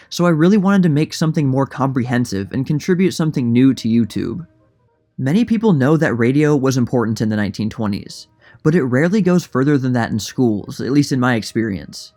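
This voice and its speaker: A man narrates a scripted documentary in a middle-pitched voice. His delivery is plain and informative, like a channel creator explaining his research. Music plays behind him. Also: a little mellow.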